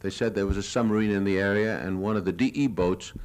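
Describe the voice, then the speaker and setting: An older American man giving a lecture, narrating his own war film in a measured, plain-spoken, reminiscing way. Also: lowish voice, steady tone, darkish tone. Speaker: newsreel reporter giving a lecture. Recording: 1970s archival lecture audio recording.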